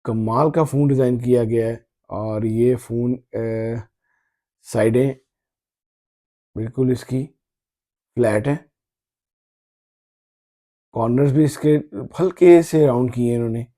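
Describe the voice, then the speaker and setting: A man with a very dark, soft-edged, mellow voice at a middling pitch. He talks casually as a tech vlogger presenting a product, haltingly and often saying a phrase again. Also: fairly even intonation. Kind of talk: casual phone unboxing commentary.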